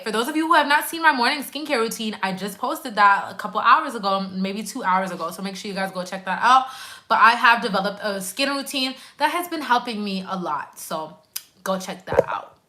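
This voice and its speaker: A woman's voice: mid-range voice, animated, moderate pace, bright, forceful tone. A woman in her twenties, a nurse hosting a casual live stream, chatting animatedly and talking straight to her viewers while reading out their comments. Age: 20s. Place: at home on a couch.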